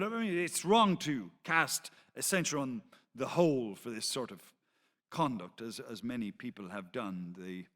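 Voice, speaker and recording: A man's voice: medium pitch, fairly bright tone. A man reading as an authoritative, censorious 18th-century pamphleteer, in a formal, emphatic and occasionally stumbling dramatic delivery. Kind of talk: dramatic reading of a historical account. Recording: reverberant hall.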